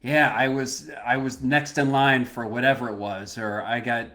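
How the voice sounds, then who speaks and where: A man's voice: mid-range voice, level tone, normal speed, a bit bright. A man co-hosting a podcast, chatting casually and giving opinions in rambling asides. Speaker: podcast co-host. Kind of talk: casual chat about movies.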